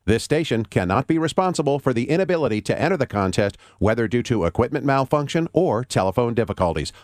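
A male announcer giving a measured, formal reading of a contest disclaimer. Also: mid-range voice, big pitch swings, no room sound, clean studio sound.

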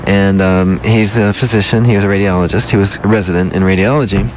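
A soft-spoken man, a dentist hosting a casual interview podcast, talking gently with many ums and restarts. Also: sits low, fairly even intonation, fast talker. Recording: lo-fi with faint noise.

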